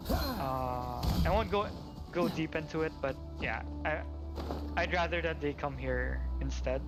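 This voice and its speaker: Higher-voiced man rambling on a game stream. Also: lively intonation, unhurried. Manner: rambling, thinking aloud. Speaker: game livestreamer. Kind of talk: casual livestream chat while gaming. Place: at home. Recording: game music playing behind. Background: video game music.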